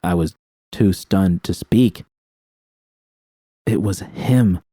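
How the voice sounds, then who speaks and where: A man's voice: low voice, drawn-out words, mellow, no room sound, clean studio sound. A man reading first-person fiction as an audiobook narrator, with dramatic storytelling delivery.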